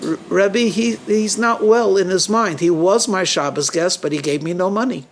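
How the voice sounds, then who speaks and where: Man acting a high-voiced, slippery storybook businessman. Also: moderate pace, slight background noise. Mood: cold denial. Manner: evasive, falsely reasonable. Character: cold-blooded, lying businessman guarding his reputation.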